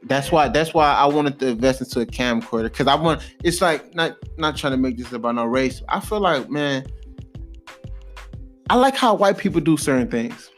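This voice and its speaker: A man's voice: mid-range voice, animated, normal speed, soft background music. A young American man in casual, slangy, profane podcast storytelling, repeating himself for emphasis. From Cleveland, United States. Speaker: podcast co-host.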